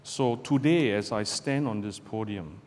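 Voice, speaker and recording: A middle-aged man from Malaysia, a barrister giving a measured, deliberate public talk to students from a podium in a lecture hall. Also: fairly low pitch, darkish tone. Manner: measured, deliberate delivery with pauses. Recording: roomy hall with long reverb, faint background noise.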